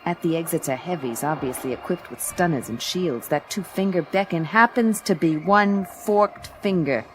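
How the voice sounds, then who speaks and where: Woman acting as tough female private eye, low pitch, dry sardonic delivery, noir radio drama, music underneath. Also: moderate pace, hardly any pauses, close to an everyday voice. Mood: cool, wry. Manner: dry, hardboiled, unimpressed. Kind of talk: first-person noir radio drama narration and dialogue.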